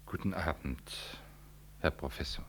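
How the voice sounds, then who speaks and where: Very deep-voiced, solemn priest. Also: male voice, vocal fry, fairly bright tone, heavily acted. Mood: solemn, guarded. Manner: deliberate, ceremonious. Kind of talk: German 1960s radio drama acting. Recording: 1960s radio recording, faint noise.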